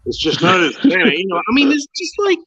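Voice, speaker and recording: A middle-aged man co-hosting a casual wrestling podcast, excitable and profane, stammering as he builds up. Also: high-pitched, normal speed, highs cut off, a crowd in the background. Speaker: podcast co-host.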